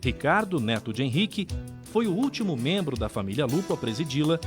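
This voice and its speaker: Brazilian man narrating with lively intonation over music. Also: on the high side, fairly quick. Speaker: documentary narrator. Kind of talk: scripted narration.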